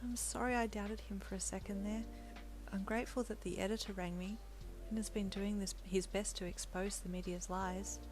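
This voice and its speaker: A woman reading a story, voicing a young pregnant woman who is emotional and grateful, in a soft, tearful way. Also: medium pitch, little pitch movement, normal speed, faint music behind, lightly acted. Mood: worried, soft.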